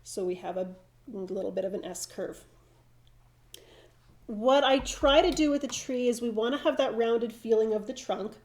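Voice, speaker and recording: A woman's voice: on the high side, moderate pace. A middle-aged woman with a slightly froggy, stuffed-up voice from hay fever, thinking aloud as she demonstrates watercolor painting. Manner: relaxed, thinking aloud while painting, frequent restarts. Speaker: watercolor instructor.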